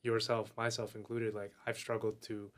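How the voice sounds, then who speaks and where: A man with a lowish voice co-hosts a casual podcast. He talks in an easygoing way with plenty of slang. He sounds friendly and unhurried. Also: monotone. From grew up in a Filipino family in the US. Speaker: podcast co-host.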